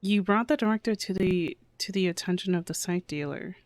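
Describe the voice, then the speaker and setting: A woman's voice: on the low side, fairly quick, no echo. A woman streamer giving casual reactions while playing a story game. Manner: reactive, swears in surprise.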